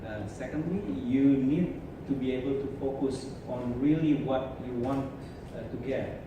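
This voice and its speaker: A man, a company founder on a panel, laying out his points in a measured way. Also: mid-range voice. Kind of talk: panel talk. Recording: echoey hall, distant mic, noisy.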